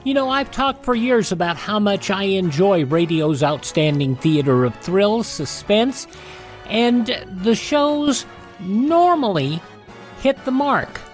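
A man's voice: high-pitched, expressive, slow pace, music in the background. A man hosting a radio show of vintage programs, talking conversationally to listeners.

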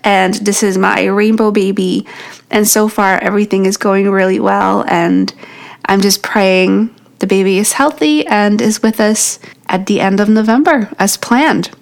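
A woman's voice: medium pitch, fairly even intonation, measured pace, creaky. A woman in her thirties with a New York accent, whose first language is Polish, talking through tips on her podcast. She speaks in a friendly, encouraging way, right to the listener, and is often short of breath as she goes. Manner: encouraging, talks straight to the listener. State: out of breath from pregnancy. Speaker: podcast host. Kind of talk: solo podcast teaching tips.

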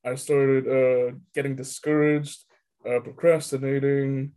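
A high school boy with a deep voice, answering hesitantly with many pauses and fillers. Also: flat delivery, warm tone, clear, resonant tone. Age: teens. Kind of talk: casual interview answers.

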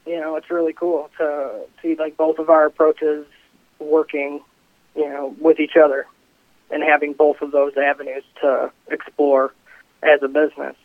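A man in his twenties speaking as a company co-founder, halting and hedging with fillers. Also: fairly high pitch, level tone, no echo. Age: 20s. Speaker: CBD company co-founder guest. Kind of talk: casual interview talk. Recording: dry tinny phone line.

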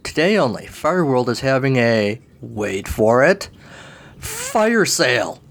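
A grandiose creature who sells fire, pushing every pitch with showman flair, as a man puts him on. His voice rides well above the narrator's own and swells with salesy enthusiasm. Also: big pitch swings, normal speed. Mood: theatrical salesy. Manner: theatrical, pushy sales pitch.

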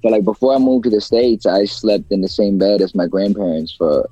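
Low, mellow-voiced young man rambling. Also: fairly even intonation, a little fast, no room sound, dull audio. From China. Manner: rambling, many fillers and restarts. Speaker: guest rapper. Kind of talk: casual interview talk.

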